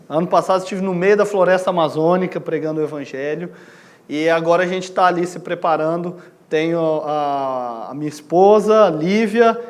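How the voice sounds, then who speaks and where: A Brazilian man preaching a sermon as a missionary in a conversational way, putting questions to his listeners and checking that they agree. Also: fairly high pitch. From Goiânia, Brazil. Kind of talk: sermon to a congregation.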